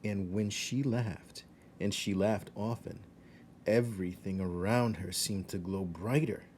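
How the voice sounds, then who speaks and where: A man narrating a children's story aloud expressively, with measured delivery, dramatic emphasis and hushed asides. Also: fairly low pitch, a little hiss or hum. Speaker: teacher reading a children's novel aloud.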